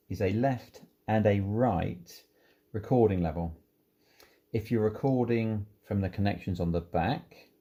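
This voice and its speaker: A man's voice: on the low side, gravelly, warm tone. A man giving an informal hands-on product walkthrough, explaining things unhurriedly, step by step. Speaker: presenter demonstrating audio equipment.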